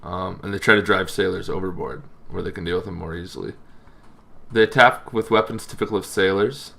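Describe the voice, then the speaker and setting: Deep, gravelly-voiced man explaining casually. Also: fairly even intonation, bright, forceful tone. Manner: casual, loosely phrased, halting delivery. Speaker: host of a tabletop roleplaying lore show. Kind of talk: informal explainer on game monsters.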